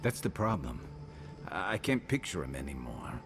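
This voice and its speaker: An actor playing a weary, loyal, regretful companion in a video game, speaking in an earnest, deliberate, reflective way. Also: male voice, rumbling low, sing-song, music in the background. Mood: troubled, wistful. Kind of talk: video game dramatic dialogue.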